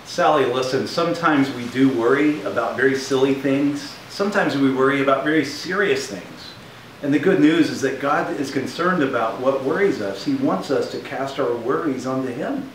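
Gently reassuring pastor man. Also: medium pitch, moderate pace. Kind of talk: children's church puppet skit. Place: studio with beach backdrop. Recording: roomy echo, distant mic, faint hiss.

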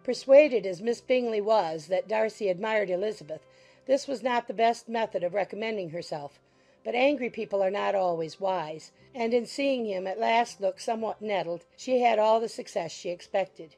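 Gravelly-voiced woman narrating a classic novel. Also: medium pitch, dark tone, faint music behind, low-quality recording. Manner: measured, formal storytelling. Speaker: audiobook narrator.

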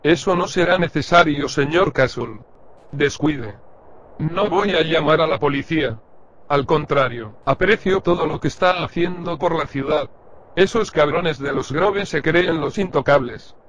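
A man's voice: a little high, measured pace, a bit bright. A man playing a friendly, admiring convenience store clerk who talks politely and earnestly in a crime drama. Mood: grateful, earnest. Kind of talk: scripted machinima crime drama dialogue.

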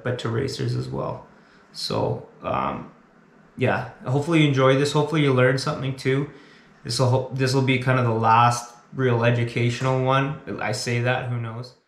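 A man's voice: mid-range voice, echoey room. A man explaining ski racing drills as a coach, casually restarting and repeating words and tagging lines with "right?". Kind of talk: casual instructional talk to camera.

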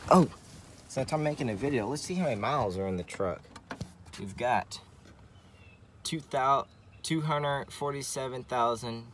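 Man, slow hesitant delivery, casual show-and-tell, repetitive phrasing, faint background noise from a vehicle. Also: mid-range voice. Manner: rambling, hesitant, repeats phrases. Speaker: vlogger showing a CB radio setup in a truck. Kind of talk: casual hands-on walkthrough. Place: in and around a parked truck. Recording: noisy handheld recording with vehicle ambience.

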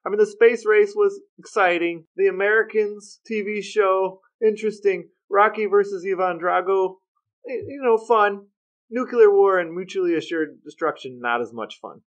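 A man's voice: high-pitched, normal speed. Wry man chatting, slightly dull recording. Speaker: podcast co-host. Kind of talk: casual chat.

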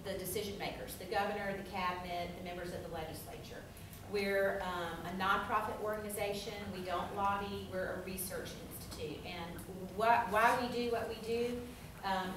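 A woman's voice: medium pitch, far from the mic, background noise. Southern woman speaking in an echoey classroom. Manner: friendly, chatty, many fillers. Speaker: think tank representative. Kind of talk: informal welcome speech. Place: university classroom. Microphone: far camera.

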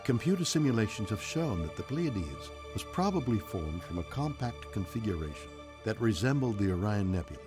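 An actor playing a composed male spaceship computer in a game, with a measured, dramatic delivery. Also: fairly low pitch, big pitch swings. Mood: measured, grand. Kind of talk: scripted game voice acting. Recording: music behind the voice.

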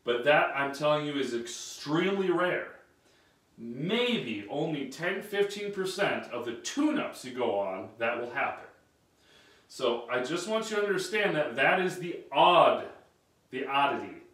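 A man's voice: on the high side, a bit bright. A man in his thirties giving an emphatic, direct training talk at a whiteboard in a small carpeted room. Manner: emphatic, direct, gestures while standing. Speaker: sales and service trainer. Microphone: camera a few feet away. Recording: echoey room, camera mic at distance.

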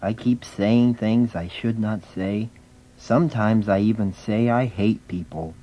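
A man's voice: fairly low pitch, a little slow, mellow. A man voicing a sad, remorseful wooden puppet in a children's story drama, on a slightly muffled recording. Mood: glum.

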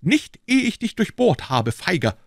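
A man voicing a proud, hot-headed young swordsman who shouts his defiance in a storybook reading. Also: deep voice, moderate pace, dry recording, clean studio sound, close to an everyday voice. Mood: defiant, furious.

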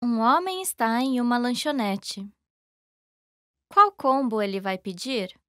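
A woman reading scripted lesson dialogue in a careful, even way. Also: on the high side, a little slow, no room sound, studio quality. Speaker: language lesson voice actor.

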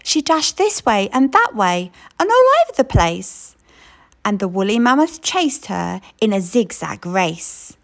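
Woman, picture book read-aloud, slow lilting storytelling, very lively pitch. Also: vocal fry.

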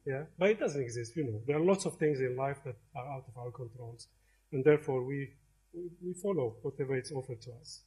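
A man's voice: mid-range voice, brisk, dark tone. A middle-aged man from Algeria giving an academic lecture in a lecture hall, talking conversationally with frequent hesitations and restarts.

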